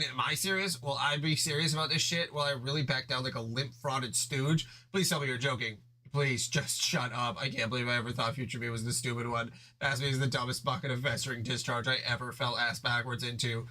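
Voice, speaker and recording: A man voicing an angry, foul-mouthed young male troll who yells crude insults. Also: mid-range voice, fairly even intonation, a little fast, few pauses, natural voice. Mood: furious.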